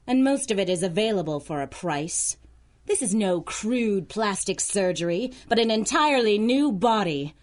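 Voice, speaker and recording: A woman's voice: mid-range voice, a little mellow. Haughty, sinister female crime boss. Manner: haughty, theatrical, scornful. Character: sinister, smug female criminal mastermind in a young body. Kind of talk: pulp radio drama villain acting.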